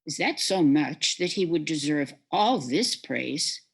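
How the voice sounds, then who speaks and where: A woman voicing a calm, questioning spirit in an amateur radio play read over a video call. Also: low voice, takes it slowly, breathy edge, heavily acted. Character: gentle questioning spirit, female.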